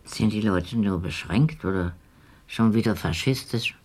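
A man's voice: on the low side, measured pace, slightly rough. An actor playing a dry, disapproving, cultured man in a naturalistic radio drama, with a sardonic manner.

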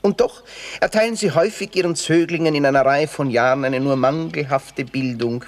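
Casting: witty, bitter young Austrian drifter played by a man, higher-pitched male voice, florid theatrical delivery with dry asides, radio comedy. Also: fairly quick, lightly acted. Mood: florid, ironic. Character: witty, bitter red-haired young drifter, grandiloquent and sardonic. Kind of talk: classic Viennese stage comedy radio acting.

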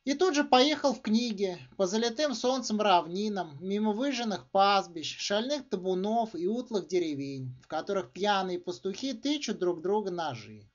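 A man reading his own literary short story aloud in a theatrical, expressive way, as an author narrating. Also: high-pitched, normal speed, hardly any pauses. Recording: dull, slightly muffled recording.

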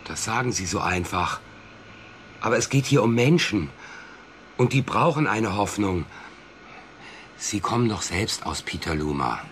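An actor playing a proud, wheedling small-town farmer in a radio drama, speaking in a familiar, insistent and emotional way. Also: male voice, on the low side, animated, a little slow, long pauses, a bit bright, slight background noise. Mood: wounded, insistent. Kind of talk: German radio drama acting.

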